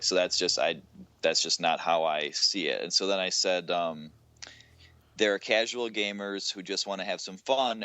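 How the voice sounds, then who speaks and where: A man's voice: sits low, fairly bright tone, dry recording, muffled recording. A man co-hosting a gaming podcast, talking in a casual, informal and opinionated way. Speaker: podcast co-host.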